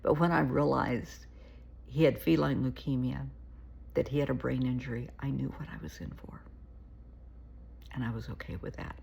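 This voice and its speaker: A woman therapist giving casual, earnest advice to camera, her voice gravelly and shaky from an oncoming cold, often pleading and repeating herself. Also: very deep voice, a little mellow, slight background noise. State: getting a cold.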